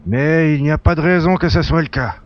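The recording is dull and lo-fi. A man acts out a self-assured spaceship hunter from a sci-fi audio drama, in a somewhat high voice. He talks in a calm, matter-of-fact way. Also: a little fast, natural voice. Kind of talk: science-fiction audio drama acting. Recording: dull, lo-fi, muffled sound.

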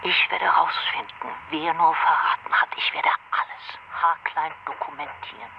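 A woman's voice: low-pitched, little pitch movement, moderate pace, gravelly. Female Nazi-hunting officer for radio play, grave and unyielding, through a muffled telephone with slight noise. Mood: grim, resolute. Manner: grave, resolute. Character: determined female British intelligence officer hunting war criminals. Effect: telephone filter. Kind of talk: radio drama acting. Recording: dull noisy telephone line.

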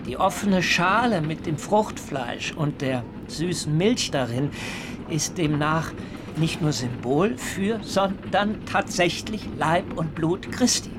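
A fervent young german pilgrim, radio play, solemn rapturous preaching, noisy background. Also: male voice, fairly high pitch, lively intonation, slow pace.

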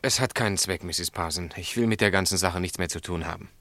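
An actor playing a stubborn, upright snack-bar owner in a German radio drama, speaking in a firm, curt, defensive way. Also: male voice, low-pitched, fast talker, fairly bright tone, dry recording.

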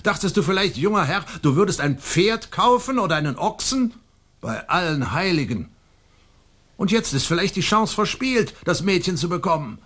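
An actor in a German radio play, playing a proud, domineering old colonial nobleman and stern father with a husky voice and an imperious, emphatic delivery. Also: high voice, big pitch swings, moderate pace, fairly bright tone, exaggerated voice. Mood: indignant. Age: older.

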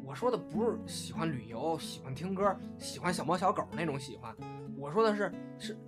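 Guy playing shy earnest young man in an audio drama, highish voice, music behind. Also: animated, a little fast, lightly acted. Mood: nervous, earnest. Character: shy, earnest young male comedy performer, anxious in love.

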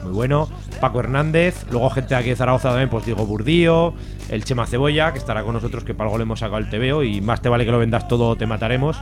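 A man's voice: medium pitch, rapid-fire. A man from Spain with a Spanish accent, chatting casually on the radio in a jokey, slangy, irreverent way. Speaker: comics guest and fanzine publisher. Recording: music playing behind.

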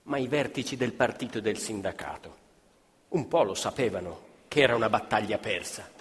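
A man acting as an earnest storyteller of a workers' ballad, with measured, declarative theatrical delivery. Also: on the low side, animated, close to an everyday voice. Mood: probing. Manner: measured, declarative, repetitive cadence. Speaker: stage actor, narrator and questioner. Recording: reverberant theatre hall, music playing along. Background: live violin music.

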